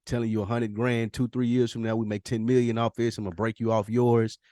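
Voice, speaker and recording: A man's voice: medium pitch, flat delivery, fast talker, mellow, dry recording, studio quality. A man co-hosting a podcast in casual chat, teasing his friend and acting out quoted voices. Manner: joking, teasing, acts out quotes. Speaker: podcast co-host.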